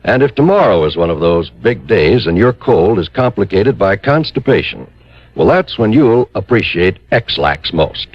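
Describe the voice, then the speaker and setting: A man's voice: fairly low pitch, sing-song, normal speed, no room sound. A man announcing on 1950s radio, reading scripted advertising and credits into a close studio microphone. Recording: muffled old radio broadcast, faint music.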